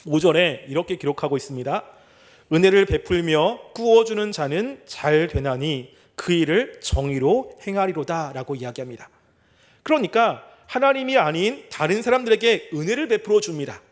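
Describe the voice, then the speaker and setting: A middle-aged male pastor giving a sermon. He asks rhetorical questions and reads scripture slowly and deliberately. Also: a little high, expressive. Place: church sanctuary.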